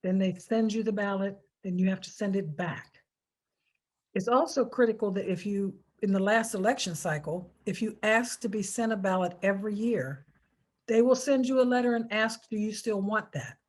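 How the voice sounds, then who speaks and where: An American woman speaks in a medium-pitched voice, walking listeners through a procedure step by step. She is informal but emphatic, leaning on key words and repeating the important points so they are understood. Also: normal speed. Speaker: presenter of a voter instruction video. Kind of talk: informal step-by-step public instruction.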